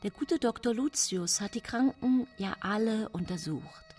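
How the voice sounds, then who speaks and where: An accused woman poisoner in a radio play, widowed and haunted. She speaks in a deliberate, confiding way that can sink into a whisper. She sounds calculating, forever justifying herself. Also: mid-range voice, expressive, darkish tone, faint music behind. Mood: self-justifying, defensive. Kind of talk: radio drama monologue.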